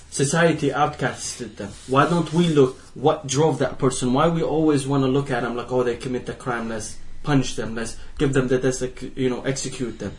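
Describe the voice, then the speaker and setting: A man's voice: medium pitch, darkish tone. A young man from Iraq speaking as a panelist in a discussion, impassioned and often restarting his sentences. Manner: impassioned, restarting and repeating himself.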